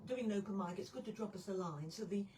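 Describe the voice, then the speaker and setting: A woman's voice: mid-range voice, fast talker, mellow. A woman, a poetry event organiser, explaining details to a radio host in a friendly way, often laughing as she talks. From Australia. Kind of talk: radio interview. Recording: off-mic with faint room noise.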